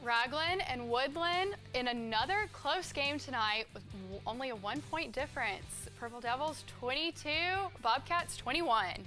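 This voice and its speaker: A woman reads football scores as a sports co-host. Her voice is clearly high and bright, delivered in a scripted broadcast style over faint music. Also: lively intonation, moderate pace, few pauses.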